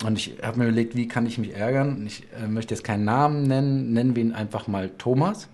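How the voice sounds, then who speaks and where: A man in his forties with a mid-range voice hosts a relaxed interview from his home studio. He talks in a chatty, storytelling way that grows lively during his anecdotes. He speaks over a video call. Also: medium pitch, fast. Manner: chatty storyteller, animated when telling anecdotes. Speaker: talk show host. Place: home studio room with bookshelves and colored lights.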